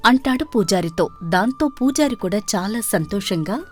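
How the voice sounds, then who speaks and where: A woman reading scripted storybook narration as the narrator of an animated folktale. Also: mid-range voice, normal speed. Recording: faint music behind. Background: faint music.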